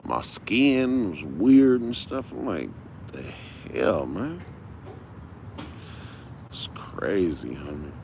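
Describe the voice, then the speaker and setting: A man's voice: sits low, sing-song, very slow, vocal fry, pauses often, darkish tone, a little hiss or hum. A man of fifty, unwell and tired, talking in a rambling, casual livestream, full of street slang and repeating himself. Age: 50s. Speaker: livestream host.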